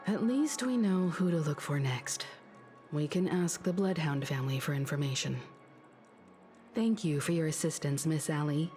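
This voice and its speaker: A woman playing a calm, analytical investigator in a video game, speaking thoughtfully and deliberately. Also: low voice, faint music behind, close to an everyday voice. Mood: polite, probing.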